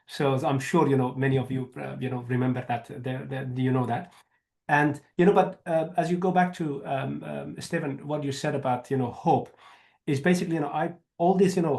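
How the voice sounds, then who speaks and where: A man's voice: medium pitch, gravelly, darkish tone. A man from Afghanistan, a historian answering questions in an online seminar, speaking conversationally with many "you know" fillers. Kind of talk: academic talk and Q&A. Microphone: video call.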